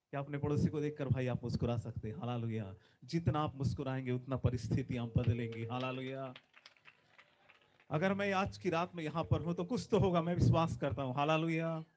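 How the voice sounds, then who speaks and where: A man's voice: a little high, a little fast, a little hiss or hum. A man in his forties, a visiting preacher giving a sermon with prayer on a large conference stage into a handheld mic, fervent and emphatic, often calling out hallelujah. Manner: fervent, repeats hallelujah, loud emphatic bursts. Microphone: handheld microphone.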